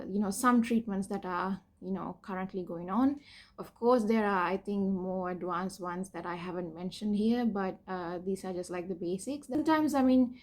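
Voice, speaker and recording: Young woman from Sri Lanka, personal vlog, hesitant and candid with fillers, mid-pitched voice. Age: young adult. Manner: hesitant, many fillers and restarts, soft laughs. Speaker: PhD student sharing her cancer story.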